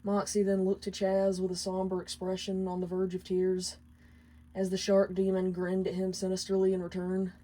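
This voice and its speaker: A woman's voice: mid-range voice, completely flat delivery, normal speed, dark tone, low-quality recording. A woman reading scripted fiction aloud as a storyteller. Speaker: fan fiction reader.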